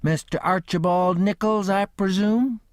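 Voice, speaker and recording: A man voicing a cheeky young trickster who pleads in a mock-innocent, earnest way, higher than his own voice. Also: a little slow, dry recording, clean studio sound. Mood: polite.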